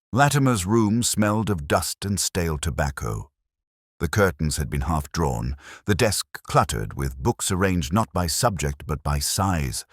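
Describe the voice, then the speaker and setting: Very deep, brooding detective. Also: male voice, no room sound, clean studio sound, heavily acted. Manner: measured, solemn, literary phrasing. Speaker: narrator. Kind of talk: scripted first-person detective narration.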